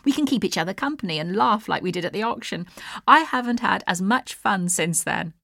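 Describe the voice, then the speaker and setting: A woman voicing a friendly young man, warm, enthusiastic and sociable, in an audiobook. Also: medium pitch, expressive, fairly quick, creaky, no room sound, voice pushed up, full character voice. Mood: delighted.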